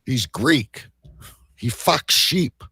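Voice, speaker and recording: A man's voice: medium pitch, animated, very slow, pushed and bright, breathy edge, no echo, studio quality. A man talking as a talk show host in crude, irreverent banter, brash, mocking and argumentative.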